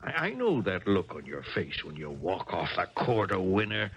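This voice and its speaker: An actor playing a pushy, ambitious middle-aged father with a husky voice in a 1970s radio drama. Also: low voice, very animated, normal speed, fairly bright tone, exaggerated voice. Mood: fervent, coaxing. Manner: pushy, pleading, emphatic. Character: domineering, ambitious father of a tennis champion daughter. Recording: dull old radio broadcast.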